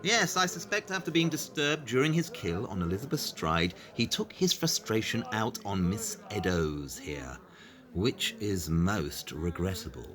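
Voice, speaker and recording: Imperious detective, swooping intonation. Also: male voice, on the low side, big pitch swings, unhurried, fairly bright tone. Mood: coolly analytical. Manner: clipped, condescending, dry. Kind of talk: audio play acting.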